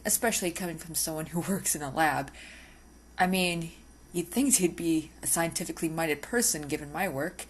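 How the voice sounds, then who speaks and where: A woman's voice: on the low side, slightly rough, exaggerated voice. A woman voicing an obsessive, possessive but politely spoken female vampire lab technician in an ASMR roleplay, with teasing, hesitant asides. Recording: faint background noise.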